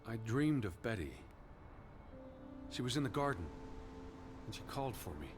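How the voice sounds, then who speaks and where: An actor playing a worried 1920s American naturalist in a video game, speaking in an earnest, brooding, cautious way. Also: male voice, medium pitch, very animated, moderate pace, natural voice. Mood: wistful. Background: music.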